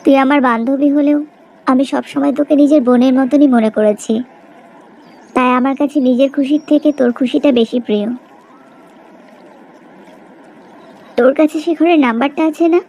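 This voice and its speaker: Cartoon rich young woman, female performer: self-sacrificing, earnest, plaintive, with an extremely high pitch. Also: fairly quick, a little mellow, rough recording. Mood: resigned. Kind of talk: cartoon melodrama dialogue.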